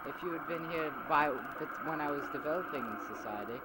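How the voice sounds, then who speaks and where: A man playing an idealistic, peace-minded revolutionary leader in an amateur audio drama, arguing insistently with hesitant pauses on a homemade tape. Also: on the high side, natural voice. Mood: reasoning, insistent. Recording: very noisy homemade 1990s tape recording.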